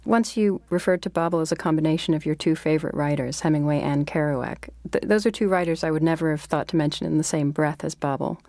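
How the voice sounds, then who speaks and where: A woman's voice: deep voice, fast talker, few pauses, darkish tone, dry recording. A woman hosting a literary podcast, introducing authors and interviewing them as a fiction editor.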